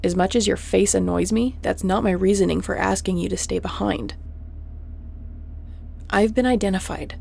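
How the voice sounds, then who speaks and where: A woman playing a tough, wry, protective space mercenary captain in a sci-fi audio roleplay, terse and commanding, often hushed. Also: mid-range voice, normal speed, gravelly, long pauses, breathy edge. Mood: wry, resigned.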